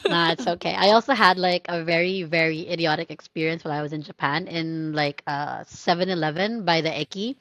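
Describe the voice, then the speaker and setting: A woman co-hosting a casual chat podcast, telling animated anecdotes, acting out quoted voices and laughing often. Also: on the low side, fairly quick, few pauses, no room sound. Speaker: podcast co-host.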